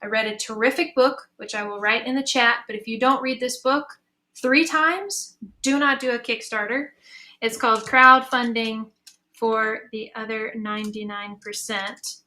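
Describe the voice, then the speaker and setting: Woman, high bright voice, casual panel talk, earnest, encouraging. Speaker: panelist, audio drama creator.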